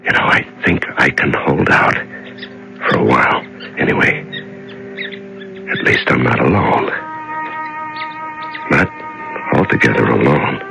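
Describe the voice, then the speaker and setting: An actor playing a frightened, lonely man locked in the dark, muttering haltingly to himself in a 1970s radio drama. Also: extremely low pitch, lively intonation, creaky, full character voice, rough recording. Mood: tender, fragile calm. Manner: halting, muttering to himself, swinging from whispers to cries. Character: frightened lonely man locked in solitary darkness, clinging to sanity. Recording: vintage radio drama recording with music.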